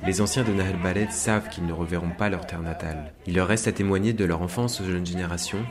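A man's voice: deep voice, steady tone, quick pace, music in the background. A man reading scripted news narration for a radio report. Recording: crowd sound behind the voice.

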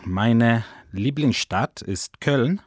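A man's voice: medium pitch, expressive, extremely slow, no echo, clean studio sound. A Spanish man in his forties, a native Spanish speaker who teaches German, talking about his love of the language in an earnest, enthusiastic way with a Spanish accent. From Spain. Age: 40s. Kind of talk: personal portrait interview.

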